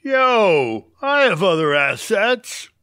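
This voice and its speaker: An actor playing a cheerful, dim, laid-back young party-bro caterer with slangy surfer talk in an audio comedy. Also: male voice, high voice, big pitch swings, slow, pushed and bright, clear, resonant tone, no echo, studio quality, exaggerated voice. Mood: proud, goofy.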